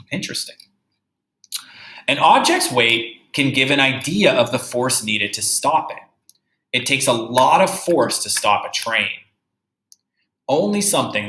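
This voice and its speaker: A man teaching young children through a read-aloud, reading deliberately and musing aloud with questions for his pupils. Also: mid-range voice, fairly bright tone. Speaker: third-grade teacher. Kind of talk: read-aloud lesson with think-aloud commentary. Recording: roomy, echoey indoor sound.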